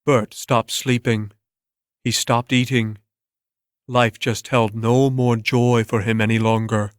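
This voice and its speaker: A man reading a scripted story as narrator, in a measured way with dramatic pauses. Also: mid-range voice, flat delivery, clean tone, no room sound, studio quality.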